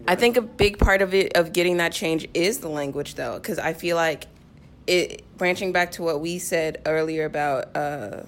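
A woman's voice: deep voice, moderate pace, slight background noise. A young woman talking casually and candidly as a podcast guest, earnest about her personal feelings. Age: teens to young adult. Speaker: podcast guest, cast member of a play. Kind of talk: casual, personal group discussion.

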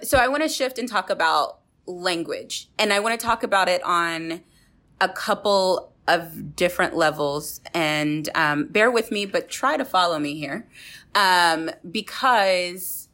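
A woman with a slightly low voice hosts a conversational podcast. She talks reflectively, often correcting and rewording herself as she works through a point. She is from Texas. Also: a little slow, fairly bright tone. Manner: reflective, rephrases and self-corrects as she goes. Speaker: podcast host.

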